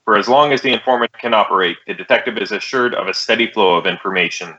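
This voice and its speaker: A man's voice: on the low side, quick pace, bright, forceful tone, highs cut off. An American man playing a terse, businesslike police detective in a clipped, matter-of-fact 1950s radio drama style. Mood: flat, official. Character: terse, businesslike male police detective, narrating his case.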